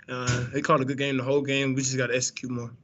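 A man's voice: medium pitch, little pitch movement, racing, a bit bright, a little hiss or hum. A young man, a college quarterback, answering post-game press questions in a laid-back way with lots of fillers and restarts, over a video call. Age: young adult. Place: indoor press room, branded backdrop. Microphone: video call, webcam.

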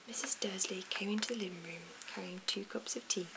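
A woman reads a storybook in a soft, slow whisper. Her delivery stays even and hushed. Noticeable hiss and small mouth clicks run through the recording. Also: mid-range voice, vocal fry. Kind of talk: whispered ASMR storybook reading.

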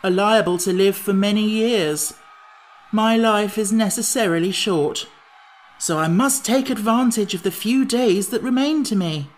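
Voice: medium pitch, unhurried, pitched above the performer's own voice, lightly acted. A storybook reader voicing a naive, childlike pumpkin-headed wooden man who sounds earnest and plaintive. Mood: gloomy.

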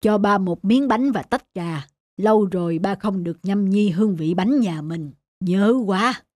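Woman playing a calm, fatherly old cartoon baker. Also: mid-range voice, a little slow, no room sound, clean studio sound, close to an everyday voice. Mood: affectionate. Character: calm, affectionate elderly father, retiring bakery owner. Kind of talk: animated moral-story acting.

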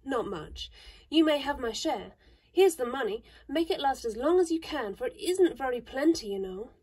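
A sensible older sister speaks gently and steadily, with a motherly calm, in a woman's reading. The voice is soft and breathy, like a storybook read aloud. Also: sits high, normal speed. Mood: kindly.

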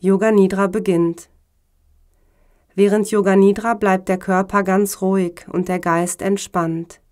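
A woman speaking as a yoga teacher, guiding a relaxation meditation in a calm, measured, instructional way. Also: mid-range voice, smooth. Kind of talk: slow guided meditation narration.

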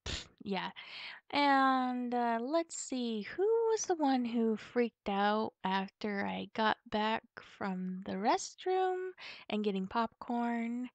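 A woman's voice: fairly high pitch, animated, slow pace, no echo. A woman playing a nervous, twitchy wolf girlfriend in an ASMR roleplay, stammering and hesitant as she hides something. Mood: playful, defensive.

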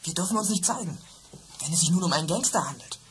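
Actress as an eager young boy detective, urgent and excitable, low-pitched, noisy tape. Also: fast talker, lightly acted. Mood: excited.

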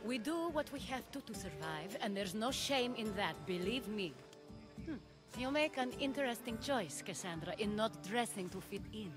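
Woman playing shrewd Athenian noblewoman, measured, knowing, game cutscene, music behind. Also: medium pitch, expressive, close to an everyday voice. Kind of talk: video game cutscene acting. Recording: music under the voice.

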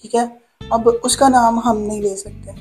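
A man's voice: extremely high pitch, steady tone, a little slow, music in the background. A young man voicing a bossy older sister who coaxes and scolds insistently in a prank phone call. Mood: coaxing. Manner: insistent, repetitive, mildly scolding.

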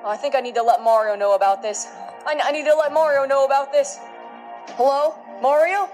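A woman's voice: high-pitched, fairly quick, a little mellow, lightly acted. A performer voicing a nervous, stammering boy in an amateur puppet video, with music behind. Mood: panicked. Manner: stammering, flustered. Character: panicky nervous young boy.

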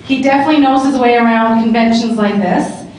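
Upbeat woman moderator, high pitch, handheld mic in a booming convention hall. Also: a little fast, a little mellow, a little hiss or hum. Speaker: panel moderator. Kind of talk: hosting a live panel. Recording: echoey hall, camera from far off.

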